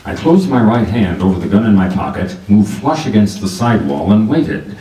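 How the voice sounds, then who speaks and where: An actor playing a wisecracking, tough private detective in a 1940s-style radio drama, with wry, clipped delivery. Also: male voice, fairly low pitch, very animated, normal speed, mellow. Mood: dry narration. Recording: roomy, distant mic with clear background noise.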